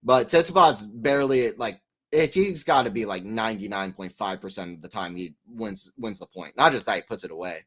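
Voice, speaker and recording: A man giving live tennis play-by-play on a stream, chatting with viewers and getting excited on big points. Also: on the low side, brisk. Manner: excitable on rallies, rambling and self-mocking between points. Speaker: tennis watchalong commentator. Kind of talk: live play-by-play and casual chat.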